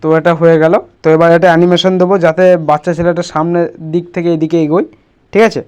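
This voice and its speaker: A man's voice: on the high side, level tone, quick pace, darkish tone, clean tone, lo-fi sound. A man presenting a casual step-by-step screen tutorial, instructing and often checking that the listener follows.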